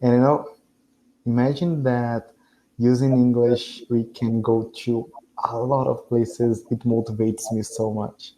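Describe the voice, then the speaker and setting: A man from Brazil whose first language is Portuguese, talking with his tutor over a video call. He is earnest and agreeable, with thoughtful pauses. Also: mid-range voice, little pitch movement, mellow, low-quality recording. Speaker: language student. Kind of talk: casual conversation lesson.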